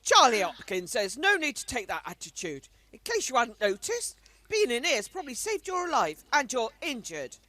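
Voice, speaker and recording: Woman acting a bright, high-pitched anxious wife. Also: wildly swooping pitch, normal speed, no room sound, full character voice. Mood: scolding. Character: scolding, anxious wartime wife worried for home. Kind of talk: amateur radio drama acting.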